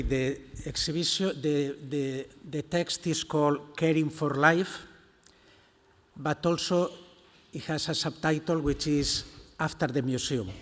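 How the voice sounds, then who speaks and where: An older man with a Spanish accent giving a conference keynote, reading slowly and deliberately with frequent hesitations. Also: a little high. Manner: slow and deliberate, with many hesitations. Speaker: keynote speaker. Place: large conference hall. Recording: echoey conference hall.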